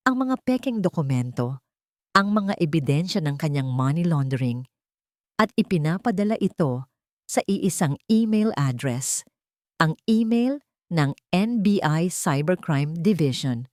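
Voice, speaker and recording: A woman narrates an audio drama in the first person. Her voice sits on the low side, recorded close and dry. The delivery is scripted, dramatic storytelling. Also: expressive, measured pace, a little mellow, no echo.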